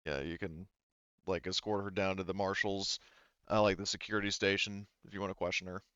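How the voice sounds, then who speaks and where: A man narrating a tabletop roleplaying game as game master, in halting phrases with frequent self-corrections. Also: low-pitched, flat delivery, pushed and bright, clear, resonant tone, no echo, highs cut off.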